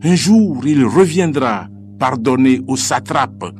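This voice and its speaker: A man recites poetry in a solemn, declamatory way, with measured phrasing and deliberate pauses. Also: mid-range voice, lively intonation, a little mellow. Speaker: poet reciting own poem. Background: music.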